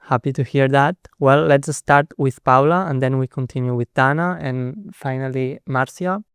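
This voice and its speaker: A young man hosting a podcast, giving a measured, scripted introduction and steering the conversation. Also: medium pitch, steady tone, clear, resonant tone, no echo, clean studio sound. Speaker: podcast host. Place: studio at an office headquarters.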